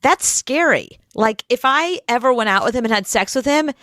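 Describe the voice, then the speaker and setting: A woman's voice: on the high side, animated, normal speed, a bit bright, no echo, clean studio sound. A woman co-hosting a radio show with teasing, sarcastic remarks. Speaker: radio co-host.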